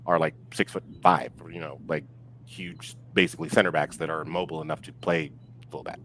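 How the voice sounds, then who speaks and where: A man chatting casually about sport as a podcast guest, speaking in a halting, reflective way and circling back to rephrase. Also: a bass voice, little pitch movement, a bit bright, a little hiss or hum, rough recording. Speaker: podcast guest discussing football.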